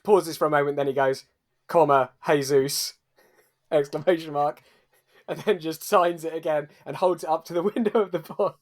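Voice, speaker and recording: A man's voice: on the high side, steady tone, moderate pace. A man improvising casual narration and banter as a player in a roleplay podcast.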